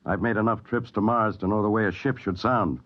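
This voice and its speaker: An actor playing a courteous, authoritative spaceship captain in a mid-century radio drama, with a measured manner. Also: male voice, lowish voice, steady tone, lightly acted. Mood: thoughtful, courteous. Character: steady, courteous, commanding male spaceship captain. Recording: dull vintage radio broadcast recording.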